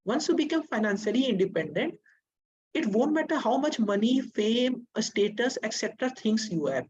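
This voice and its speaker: A woman's voice: on the high side, lively intonation, normal speed, darkish tone, husky, muffled recording. A young woman, giving earnest, hesitant advice in a casual interview. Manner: earnest, hesitant, many fillers and restarts. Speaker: interview guest.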